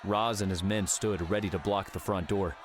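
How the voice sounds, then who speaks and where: A man's voice: sits low, fairly even intonation, normal speed, a crowd in the background, noisy background. A man narrating a scripted story as an audiobook narrator.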